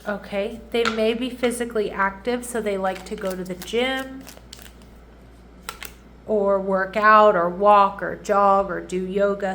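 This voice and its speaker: A woman with a stuffy, nasal voice talks through a tarot reading in a relaxed, chatty way, often checking in with her listeners. Her pitch sits in a middle range for a woman, and a faint hiss of background noise runs under her voice. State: congested, nasal. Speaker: tarot reader. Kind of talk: casual tarot reading monologue.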